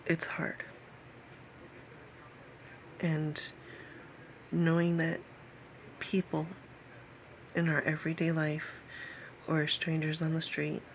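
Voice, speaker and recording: A woman in her late thirties talking personally to camera in a halting way, repeating phrases and sometimes dropping to a whisper. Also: sits low, a little hiss or hum, poor audio. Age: late 30s. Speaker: vlogger.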